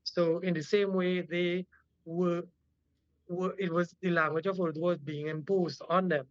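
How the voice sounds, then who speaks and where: A man with a Trinidadian accent and a fairly high voice, explaining things as an interview guest. He pauses often mid-sentence as he builds his points. The sound is dry and somewhat dull. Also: level tone, no echo. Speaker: interview guest, cultural activist.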